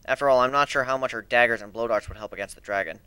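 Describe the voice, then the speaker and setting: A man's voice: mid-range voice, racing, bright, forceful tone, clear, resonant tone, no room sound. A man reading a story aloud as dramatic first-person narration. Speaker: amateur story reader.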